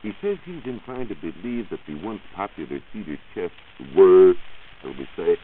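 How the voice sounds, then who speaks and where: A hissy, narrow old radio recording of an American man with a very low voice. He explains science as a reporter would on a 1940s program, in measured, formal phrases with lively rises and falls. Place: radio studio.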